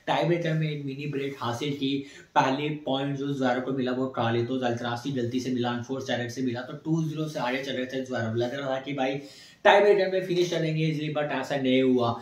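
Young man recapping tennis in an echoey room. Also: mid-range voice. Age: young adult. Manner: energetic, recaps scores in quick lists, gestures while talking. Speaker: sports channel host. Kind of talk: post-match tennis analysis to camera. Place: small indoor room.

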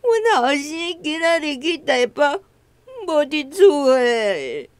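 A woman acting a flustered, anxious elderly Taiwanese grandmother in a radio drama, lamenting in a drawn-out way. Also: sits high, sing-song, no echo, heavily acted. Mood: tearful wailing. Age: older.

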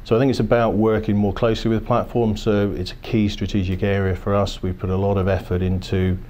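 Low, even-toned businessman in an office. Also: a little hiss or hum. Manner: measured, corporate, steady explanations with frequent restarts. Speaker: financial services executive. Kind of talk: business interview. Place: office, indoors.

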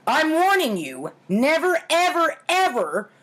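A woman in her thirties with an American accent, reading stories aloud in a theatrical, wry, deliberate way with casual asides. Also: sits high, big pitch swings, a bit bright. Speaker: host of a storytime series. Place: home kitchen, indoors.

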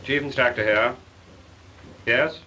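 A man's voice: mid-range voice, steady tone, takes it slowly. A British man with a mid-range voice plays a chief flying instructor, dry and clipped in an officer's manner. Faint hiss from an old film soundtrack sits under him. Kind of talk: 1940s British film acting.

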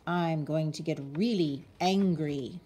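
A woman voicing a storybook mother koala, sensible and a little weary, with measured, emphatic parental delivery. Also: deep voice, mellow, breathy edge, full character voice. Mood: exasperated.

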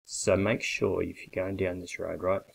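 A man in his forties giving a blunt, persuasive sales pitch straight to a webcam from a small bare office. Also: flat delivery, normal speed, vocal fry, breathy edge. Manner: blunt, persuasive, emphatic with hand gestures. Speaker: coffee business consultant. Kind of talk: direct-to-camera sales pitch. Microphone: webcam at desk.

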